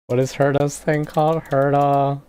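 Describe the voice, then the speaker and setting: A game streamer chatting casually on a livestream, thinking aloud in a halting way while typing at a computer. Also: mid-range voice, level tone, darkish tone, clear, resonant tone. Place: indoors at a computer. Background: music, keyboard typing.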